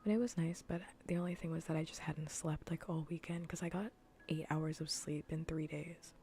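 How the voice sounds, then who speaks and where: Casting: female ASMR stream host, whispery and low, even intonation, dryly funny casual rambling, noticeable background noise. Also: moderate pace. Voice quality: soft, whispery, breathy. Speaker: livestream host.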